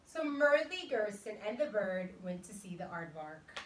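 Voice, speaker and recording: A woman teacher reading a storybook aloud to young children in an expressive way, pausing to put questions to them. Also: mid-range voice. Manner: expressive, dramatic emphasis, pausing to question listeners. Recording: roomy, distant mic with faint noise.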